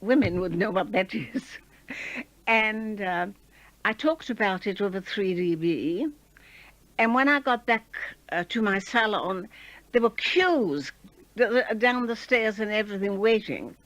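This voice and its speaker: A woman's voice: medium pitch, animated, measured pace, dry recording, low-quality recording. An older woman, a former radio host, chattily reminiscing in an interview. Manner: chatty, warm reminiscing with fillers.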